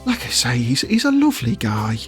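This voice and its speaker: A man's voice: mid-range voice, wildly swooping pitch, fairly quick, a bit bright, faint music behind. A man giving casual interview answers as a voice actor, choosing his words with hesitation and often chuckling.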